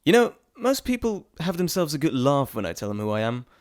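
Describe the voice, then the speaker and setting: A man's voice: fairly high pitch, big pitch swings, fairly quick, dry recording. A man playing a glib, dry-sounding trickster god. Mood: coaxing, amused. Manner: chatty, theatrical, teasing, self-interrupting. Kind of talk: scripted audio roleplay addressed to the listener.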